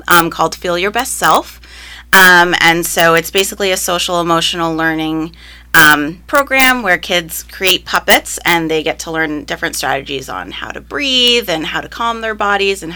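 A woman's voice: fairly low pitch, sharp tone, clean tone. A young woman teacher answering interview questions in a casual, upbeat way, with frequent ums and likes, raised speaking both Spanish and English. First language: Spanish, alongside English. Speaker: first grade teacher, interviewee.

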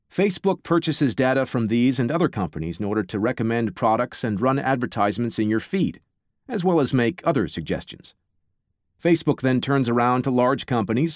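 A man's voice: medium pitch, a little mellow, no echo, studio quality. A man presenting a podcast with scripted narration, wry and blunt in manner.

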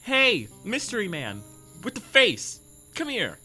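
A woman's voice: mid-range voice, huge pitch swings, slow pace, bright, forceful tone, soft background music, full character voice. A young woman playing a bold, curious, impulsive teenage best friend in an audio drama. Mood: bold, excited.

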